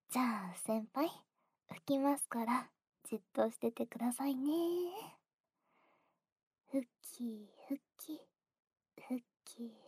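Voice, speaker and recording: A woman playing a breathy, teasing young junior. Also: on the high side, a little mellow, no echo, full character voice. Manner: teasing, giggly, close whispering. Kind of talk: whispered ASMR roleplay.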